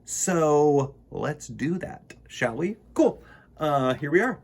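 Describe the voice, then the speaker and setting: Male picture book reader, theatrical and playful, with very lively intonation and a husky edge. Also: a little high, slow pace. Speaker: children's storytime reader. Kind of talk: animated picture book read-aloud with casual asides.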